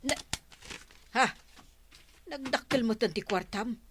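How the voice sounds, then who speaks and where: Babaeng gumaganap na madaldal na tindera. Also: female voice, on the high side, slow pace, a bit bright, a little hiss or hum. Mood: bantering. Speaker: village store owner. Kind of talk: Ilocano radio drama acting.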